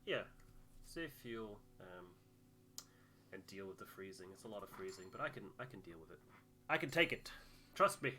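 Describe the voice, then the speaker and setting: A man with a very bright, forceful voice chats his way through a video game. He jokes and teases casually, with faint music playing behind him. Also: fairly low pitch, animated, normal speed, long pauses. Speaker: let's player reading game dialogue aloud. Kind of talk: casual playthrough commentary.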